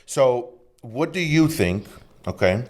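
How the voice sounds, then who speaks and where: A man hosting a podcast chat, talking in a loose, animated way full of fillers. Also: mid-range voice, slow. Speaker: podcast host. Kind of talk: casual chat.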